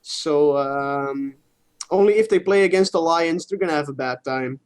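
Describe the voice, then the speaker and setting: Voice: mid-range voice, moderate pace, darkish tone, clean tone. A co-host of a gaming stream chatting casually about esports in an informal way, swearing freely.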